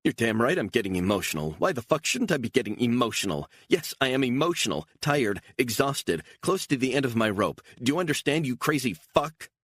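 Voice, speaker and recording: A man's voice: a little fast, hardly any pauses, fairly bright tone, no room sound. Man voicing a frantic, furious young actress. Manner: shrill, swearing, near tears. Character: frazzled angry young actress, emotional.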